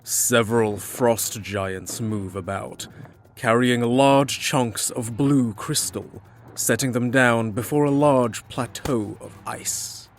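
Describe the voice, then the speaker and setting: A man's voice: mid-range voice, slow, a little hiss or hum. A man giving dramatic, scripted fantasy narration as the storyteller.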